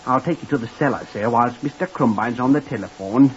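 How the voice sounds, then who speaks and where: A man's voice: mid-range voice, a little fast, lightly acted. An actor playing a simple, eager young hotel lift boy who rambles deferentially and calls everyone sir, in old-time radio acting. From Blackpool, Lancashire. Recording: muffled old radio recording with hiss.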